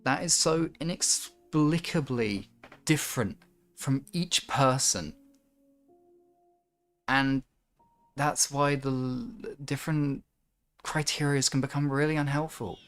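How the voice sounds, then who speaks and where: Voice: medium pitch, takes it slowly, pauses often, a bit bright. A livestream host chatting casually and musing aloud in an earnest, rambling, reflective way. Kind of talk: casual chat and reflective monologue to a livestream audience. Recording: music behind the voice. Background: music.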